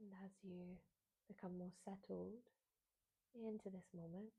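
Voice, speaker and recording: A woman leads a guided meditation in a mellow, husky voice with a gravelly fry at the edges. She speaks softly and soothingly, sometimes near a whisper, leaving unhurried pauses between phrases. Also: mid-range voice, steady tone. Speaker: meditation guide, yoga teacher.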